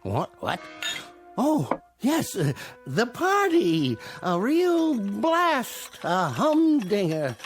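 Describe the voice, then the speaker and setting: Bumbling, high-voiced guardian angel, swooping wildly. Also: male voice, huge pitch swings, drawn-out words, faint music behind, full character voice. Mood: groggy, bewildered. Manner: dithering, rambling, exclamatory. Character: bumbling, flustered male guardian angel, breezy and fussy. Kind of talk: comic fantasy radio drama acting.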